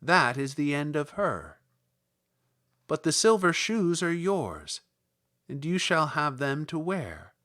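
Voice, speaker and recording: A man reading aloud, voicing the good Witch of the North, a kindly old woman with a gentle, measured manner. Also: a little high, very animated, full character voice.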